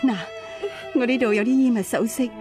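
An actress playing a kind, wistful fellow wife in a radio drama, gentle and consoling. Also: female voice, fairly high pitch, fairly even intonation, fairly quick, over music, natural voice. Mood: sad, consoling.

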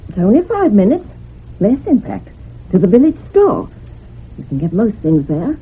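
An actress playing a widowed, house-proud village housekeeper, chatty and matter-of-fact, in a radio drama. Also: female voice, medium pitch, huge pitch swings, moderate pace, husky, heavily acted. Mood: obliging. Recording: muffled lo-fi old radio recording.

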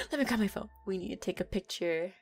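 A woman's voice: medium pitch, huge pitch swings, fairly quick, husky, soft background music, heavily acted. A young woman voicing a playful, affectionate neko girlfriend in an audio roleplay, giggly and teasing as she talks.

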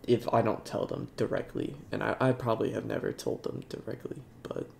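Young man, gravelly congested voice, halting and soft-spoken, faint hiss behind. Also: on the low side, steady tone. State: congested, sniffling. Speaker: YouTuber. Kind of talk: casual talk over gameplay.

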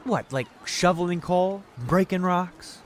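A man's voice: high voice, slow pace, a little hiss or hum. A man voicing a cocky, sly young male thief who talks in a brash, swearing way.

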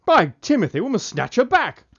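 A man playing a suave, decisive British gentleman detective in a parody of old radio drama. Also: extremely high pitch, huge pitch swings, brisk, slightly rough, full character voice. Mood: hearty. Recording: muffled vintage radio, music behind.

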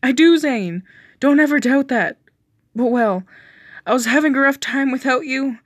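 A woman's voice: high voice, moderate pace, no echo, studio quality, voice pushed up. A woman voicing a guilty young man who defends himself and pleads with his boyfriend in a story reading. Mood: defensive, pleading.

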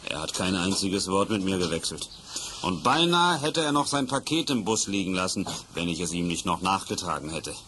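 An actor playing a folksy middle-aged country bus driver in an old radio drama, teasing and curt by turns. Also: male voice, low-pitched, big pitch swings, normal speed. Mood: musing. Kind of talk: 1970s radio drama acting. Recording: hissy old tape recording.

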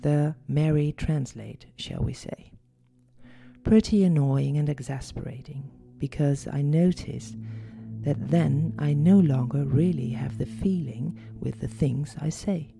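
A woman with a Dutch accent hosts her own podcast, speaking calmly and deliberately. Her voice is very dark and mellow, with a gravelly edge. Soft music plays faintly underneath. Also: sits low. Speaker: coach and podcast host. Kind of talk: reflective solo podcast monologue.

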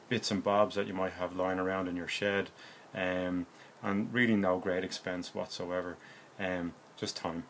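An Irish man in his forties with a low voice explains a hobby project casually. He speaks plainly and hesitantly, working without a script. He is recorded on a phone in a room at home, with faint noise behind him. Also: fairly bright tone. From Ireland. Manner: hesitant, unscripted, plain-spoken. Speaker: hobbyist presenter.

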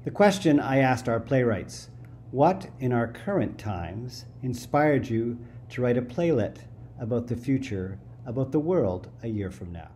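A man's voice: medium pitch, lively intonation, a little slow, mellow, a little hiss or hum. A man in his fifties, an artistic director, giving a scripted introduction into a computer at home. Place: indoors, room at home. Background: plucked guitar music.

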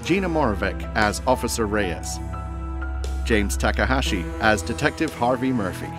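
Guy announcer reading podcast credits, average pitch, music playing clearly under him. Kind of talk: scripted podcast credits narration.

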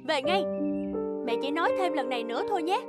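A woman voicing a worried, stern mother donkey in an animated fairy tale, scolding and insistent. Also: high voice, fast, loud music behind the voice. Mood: stern warning.

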